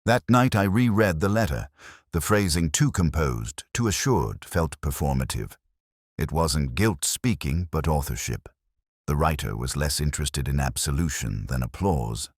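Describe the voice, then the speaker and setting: A man as a very deep, husky detective. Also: animated, no echo, studio quality. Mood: measured, sceptical, then grave, pondering. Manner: measured, brooding, literary delivery. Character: weary, cerebral English male police inspector narrating a case. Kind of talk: scripted first-person detective audio drama narration.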